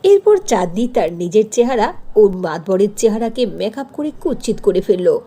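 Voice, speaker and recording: A woman's voice: medium pitch, very animated, moderate pace, dark tone, breathy edge. A woman telling a children's cartoon story as a scripted narration.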